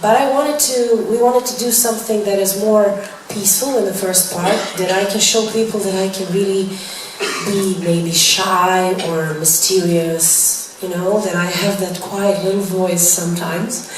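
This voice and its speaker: A chatty young woman fields press questions, laughing often and filling pauses with "uh". Her voice sits at a middle pitch and comes from far off the mic. The room is very echoey and noisy. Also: fairly even intonation. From Serbia. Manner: chatty, laughing, apologetic, with many fillers. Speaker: singer answering press. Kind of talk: press Q&A chat.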